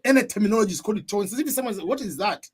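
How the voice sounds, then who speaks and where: A man from Tanzania chatting casually as a guest on a live stream from home, relaxed and laughing often. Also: high voice, rapid-fire, breathy edge. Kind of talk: casual chat. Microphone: computer mic.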